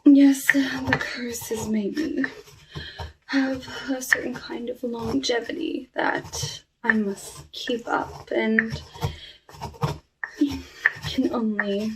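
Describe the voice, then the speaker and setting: A woman playing a lonely cursed witch in an ASMR roleplay, talking softly and wistfully with halting, hushed delivery over a noisy recording. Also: a little high, steady tone. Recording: clearly noisy with clicks.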